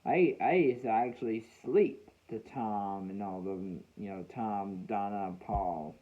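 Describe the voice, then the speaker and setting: A man talking casually and reminiscing to viewers as a hobbyist host, in a halting way with repeated phrases. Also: lowish voice. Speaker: weather radio hobbyist hosting a video. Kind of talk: casual, reminiscing vlog talk. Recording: dull, lo-fi home recording.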